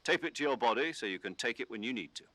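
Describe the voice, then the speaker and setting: A man voicing a calm commanding officer who gives instructions over the radio in a game. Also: medium pitch, no echo. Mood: steady. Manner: measured, instructive briefing tone. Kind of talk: game dialogue.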